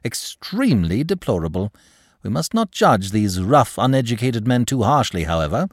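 A man playing a suave, impudent young gentleman, lively pitch. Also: moderate pace, no room sound, clean studio sound. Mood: mock sorrow. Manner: flowery, ingratiating, over-polite speech. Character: suave, impudent young gentleman clerk, mock-courteous and unflappable. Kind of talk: audiobook character dialogue.

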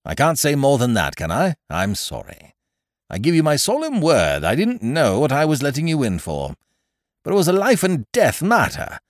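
A man's voice: fairly high pitch, wildly swooping pitch, normal speed, no room sound, studio quality, voice pushed up. A man voicing a breezy, overfamiliar male scrounger in a story, effusive and cajoling with old-fashioned slangy chumminess. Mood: apologetic pleading. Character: breezy male scrounger, wheedling, overfamiliar, falsely hearty chum.

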